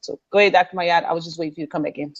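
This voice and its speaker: A woman's voice: lowish voice, steady tone, racing. A woman co-hosting a casual talk show, talking loosely with many fillers and restarts. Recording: muffled lo-fi line.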